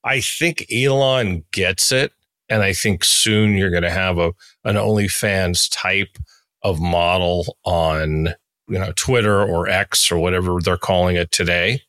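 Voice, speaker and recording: A man's voice: sits low, measured pace, a bit bright, no echo, studio quality. A man hosting a podcast interview in a casual, laid-back and blunt way.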